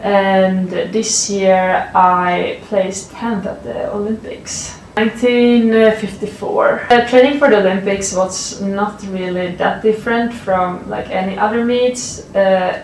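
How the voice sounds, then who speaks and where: A woman in her twenties from Sweden with a Swedish accent, giving relaxed interview answers full of fillers as a college athlete. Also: medium pitch, slow pace, recorded from a distance, slight background noise. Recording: roomy, off-mic, faint ambience.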